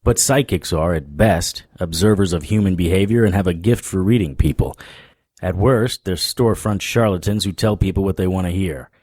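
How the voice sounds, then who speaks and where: World-weary private eye, low voice, deadpan, sardonic, noir radio drama, close dry sound. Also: male voice, normal speed, dry recording. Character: wry, world-weary male supernatural private detective narrator.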